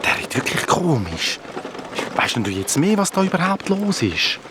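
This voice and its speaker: A man's voice: a little high, sing-song, normal speed, noisy background. A man with a Swiss German accent voicing a curious young companion who keeps asking questions. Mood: questioning. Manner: curious, asks many questions. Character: Chlöpf, an inquisitive young male companion.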